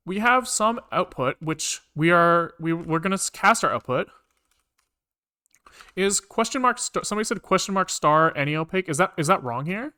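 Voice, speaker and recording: A man with a slightly high, bright voice that comes through clean and clear. He is live-streaming as a programmer, thinking out loud in an informal way and often muttering to himself. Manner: informal, mutters to self, swears casually. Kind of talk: casual think-aloud live coding commentary.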